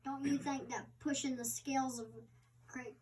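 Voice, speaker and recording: A woman reading an interviewer's part aloud, halting and stumbling over words. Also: high-pitched, level tone, a little mellow, slight background noise. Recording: distant microphone.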